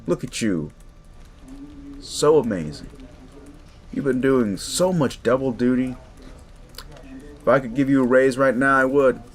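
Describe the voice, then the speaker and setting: Man acting as a slow-drawling, sleazy bully boss. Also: mid-range voice, animated, close to an everyday voice. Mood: falsely encouraging. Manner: mocking, drawling, swearing, theatrically patronizing. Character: sleazy male werewolf boss, smug, condescending, bullying. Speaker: office boss. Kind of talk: audio roleplay, direct address to listener.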